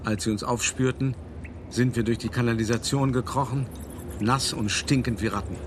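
An actor playing an elderly, wry and weary art dealer who recounts his life in a measured, dramatic way in a radio play monologue. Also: mid-range voice, steady tone, background noise, natural voice. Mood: tense recounting. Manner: measured, dramatic, cultivated raconteur.

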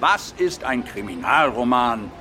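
A man's voice: mid-range voice, big pitch swings, measured pace, noisy background. An actor playing a sardonic, world-weary male crime writer in a German radio drama, talking in an ironic, musing way. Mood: wry, nostalgic.

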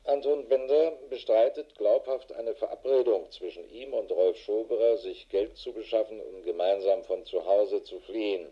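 Low-voiced, world-weary police investigator. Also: male voice, steady tone, normal speed, hardly any pauses, slightly rough, lo-fi sound. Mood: sober, dictating. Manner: matter-of-fact, dry, clipped official phrasing. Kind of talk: German radio drama acting, dictated report.

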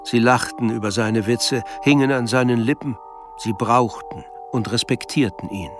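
A man's voice: mid-range voice, normal speed, music in the background, lightly acted. A man narrating a melancholy literary story in an audio drama. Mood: measured narration. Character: male narrator of a melancholy novel.

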